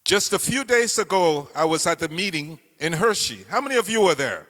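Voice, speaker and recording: An older man from Cameroon giving an earnest campaign speech, telling his life story with slow, deliberate emphasis. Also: high-pitched, big pitch swings, a bit bright. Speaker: political candidate speaking at a meeting. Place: meeting hall. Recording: reverberant hall.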